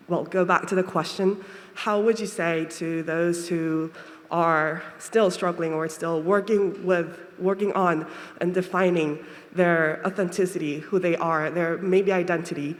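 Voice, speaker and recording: A woman's voice: fairly low pitch, fairly even intonation, takes it slowly. A woman from Japan asking a long, winding question from the audience, hesitant and full of fillers. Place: lecture hall, audience microphone. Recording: reverberant hall sound.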